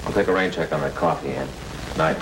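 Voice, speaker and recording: An actor playing an easygoing, chatty police detective in an old-time radio drama. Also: male voice, very deep voice, fast, vocal fry. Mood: helpful, matter-of-fact. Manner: casual, chatty. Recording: very noisy old radio recording.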